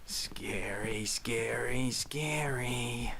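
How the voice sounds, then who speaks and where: An actor playing a creepy, childish psychiatric patient in a video game, taunting in a drawl that slips into whispers. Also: male voice, medium pitch, drawn-out words, creaky, bright, forceful tone, exaggerated voice. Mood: menacing, playful. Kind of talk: video game cutscene acting.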